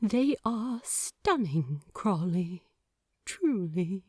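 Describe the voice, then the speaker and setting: Gentle fussy male angel, played by a woman narrator, soft-spoken and formal, unhurried. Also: medium pitch, sing-song, dry recording, studio quality, exaggerated voice. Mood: tender.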